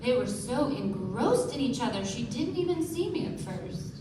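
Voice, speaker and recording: A woman's voice: on the high side, big pitch swings, normal speed. A woman acting a poised young socialite who narrates dramatically in a live radio play. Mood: dramatic storytelling. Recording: echoey stage, distant mic, music behind.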